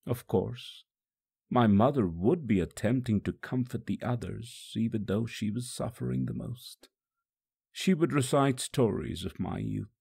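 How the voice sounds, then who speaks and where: A condemned holy man played by a male reader tells his own story in solemn, tender sorrow. His low voice is gravelly and moves very slowly, deliberate and reflective, as in a dramatic audiobook. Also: warm tone. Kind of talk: solemn first-person dramatic monologue.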